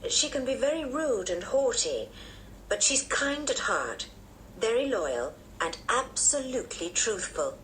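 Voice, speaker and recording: A woman voicing a kindly, caring adult aunt with a gentle, reassuring manner in a storybook. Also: fairly high pitch, sing-song, measured pace, slight background noise, pitched above the performer's own voice, poor audio. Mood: frank, fond.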